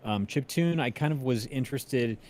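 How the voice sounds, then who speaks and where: A man's voice: mid-range voice, normal speed, darkish tone. A man hosting a casual livestream interview, tentative and informal, often rephrasing as he goes. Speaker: interviewer, stream host.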